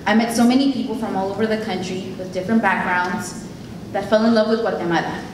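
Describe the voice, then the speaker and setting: A woman's voice: medium pitch, steady tone, fast, background noise. A young woman whose first language is Spanish, giving a heartfelt prepared keynote speech at an event. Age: late teens. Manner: earnest, heartfelt, reading from notes. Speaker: keynote speaker. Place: event hall. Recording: echoey hall recorded from a distance.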